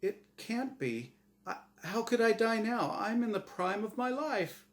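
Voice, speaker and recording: A man acts out a dazed, newly dead everyman in a comic story. He sounds disbelieving and protests helplessly, his pitch moving about a lot. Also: expressive, a little slow. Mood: anguished protest. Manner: stunned, disbelieving.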